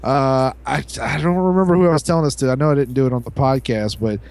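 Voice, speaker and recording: A man's voice: mid-range voice, fast, darkish tone, clear, resonant tone. Rambling man in casual podcast banter. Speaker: podcast co-host. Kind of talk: casual profane banter and storytelling.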